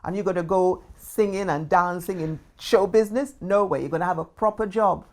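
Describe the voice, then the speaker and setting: A woman voicing her strict, explosive mother, scolding forcefully. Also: on the low side, a little fast, natural voice.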